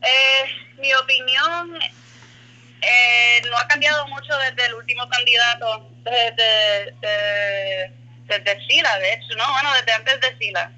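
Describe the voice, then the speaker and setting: A woman's voice: fairly high pitch, takes it slowly, bright, forceful tone, lo-fi sound. A Puerto Rican woman in her thirties, a comedian and artist, talking casually in a remote conversation, rambling and self-correcting, dropping in English words. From Puerto Rico. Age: 30s.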